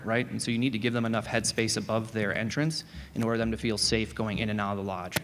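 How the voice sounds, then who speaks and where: Man panelist with a low, monotone voice, steady explanation, echoing hall with a faint hiss. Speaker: panel presenter. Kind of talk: answering audience questions. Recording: reverberant hall with faint noise.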